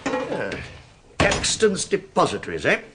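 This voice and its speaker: An actor playing a garrulous, needling middle-aged English police inspector in a radio drama, talking with false casual deference. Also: male voice, high voice, huge pitch swings, measured pace, loud music behind the voice, full character voice. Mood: chatty, rambling. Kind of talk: British radio drama acting.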